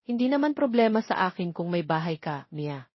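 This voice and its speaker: A woman's voice: on the low side, very animated, a little fast, breathy edge, dry recording. A woman with a Filipino accent voicing an earnest, devoted young man in an audio romance story. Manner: earnest, serious. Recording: slightly dull, lo-fi recording.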